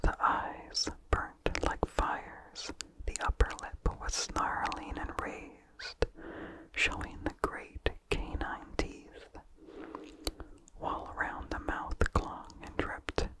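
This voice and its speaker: A narrator reading a vintage horror story entirely in a breathy, hushed ASMR whisper, with deliberate phrasing. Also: rough recording.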